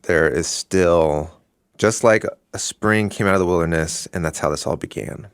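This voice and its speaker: Man, podcast co-host, lower voice, calm and measured, dry studio sound. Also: smooth, no room sound. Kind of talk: conversational podcast talk and outro.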